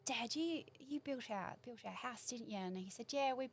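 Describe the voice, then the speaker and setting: A woman in her forties with a New Zealand accent, a guest in a casual studio interview, chatting warmly and rambling through memories. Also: on the high side, moderate pace, a little hiss or hum. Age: 40s. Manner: warm, chatty, rambling recollection. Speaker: podcast guest. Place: small podcast studio.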